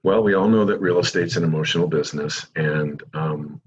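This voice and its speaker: A middle-aged American man, a real estate agent, answering interview questions at length in a calm, measured way. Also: dull audio, slight background noise. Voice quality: calming low voice. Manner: calm, measured, long-winded explanations.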